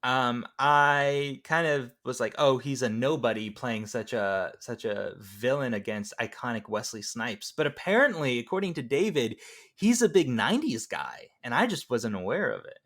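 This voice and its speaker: Male podcast host, middle pitch with very animated intonation, casual film talk. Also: normal speed.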